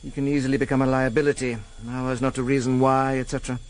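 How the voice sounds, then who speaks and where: A man's voice: mid-range voice, flat delivery, fast, natural voice. A man acting in a radio drama as a pedantic, coolly menacing senior intelligence official, with a fussy, precise, insinuating manner. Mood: grave, insinuating.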